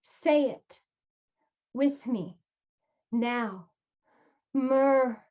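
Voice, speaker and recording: A woman poet giving a dramatic poetry reading in an emphatic, pleading way, with pauses between short phrases. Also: high voice, dark tone, highs cut off.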